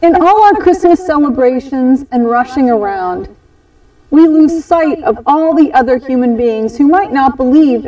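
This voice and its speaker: A woman reading a scripted first-person story in an expressive, measured storytelling manner with dramatic pauses. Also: high-pitched. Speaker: storyteller at a church holiday program. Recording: dull, distant microphone.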